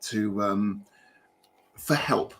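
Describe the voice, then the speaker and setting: A man with a British accent giving gentle, reassuring tarot readings over a video call. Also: fairly low pitch, drawn-out words, a little hiss or hum. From Britain. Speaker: guest tarot reader. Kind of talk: casual livestream tarot reading.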